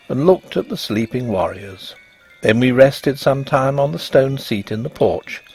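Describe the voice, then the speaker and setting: A man narrating a ghost story in an expressive, measured and dramatic scripted reading. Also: mid-range voice, creaky, breathy edge.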